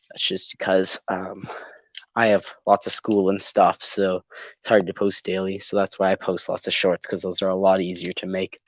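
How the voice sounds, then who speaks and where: A man's voice: deep voice, dry recording. Congested teen guy, lo-fi live stream. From Canada. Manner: rambling, lots of ums and restarts, friendly. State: congested, a bit sick. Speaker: YouTube channel host. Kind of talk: casual live-stream chat while building.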